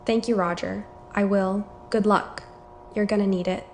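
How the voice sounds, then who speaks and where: A woman's voice: mid-range voice, fairly even intonation, moderate pace, heavy background noise, close to an everyday voice. A woman playing a polite, concerned hospital doctor in an amateur horror drama, speaking matter-of-factly.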